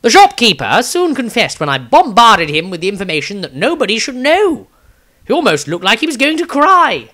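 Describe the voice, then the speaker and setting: A man's voice: very high-pitched, huge pitch swings, normal speed, bright, forceful tone, pitched above the performer's own voice, heavily acted. A man voicing an eccentric, grumbling wizard diarist in a fantasy story, theatrical, rambling and wry. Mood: wry, grudging. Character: eccentric, grumbling, self-satisfied male wizard adventurer diarist.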